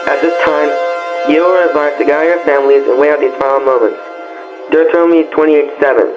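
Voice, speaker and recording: A man reading a scripted emergency broadcast announcement in a formal, solemn manner. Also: fairly high pitch. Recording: dull lo-fi broadcast, loud music behind.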